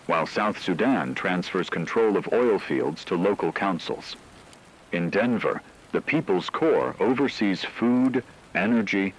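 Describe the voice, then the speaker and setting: A man's voice: low-pitched, a bit bright, slight background noise, low-quality recording. A man reading a scripted, dramatized radio news report as an anchor, formal and grave.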